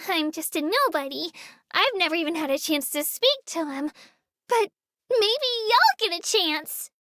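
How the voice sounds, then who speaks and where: A woman's voice: very high voice, expressive, unhurried, pushed and bright, no room sound, clean studio sound, exaggerated voice. A woman voicing a small, girlish creature tour guide in a game, earnest, polite and a little hesitant as she gives her commentary. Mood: humble. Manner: polite, earnest, slightly hesitant, self-effacing.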